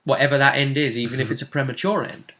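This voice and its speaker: A man's voice: medium pitch, fairly even intonation, fast talker, sharp tone. A man casually discussing a tabletop role-playing game as its game master, often checking with tag questions.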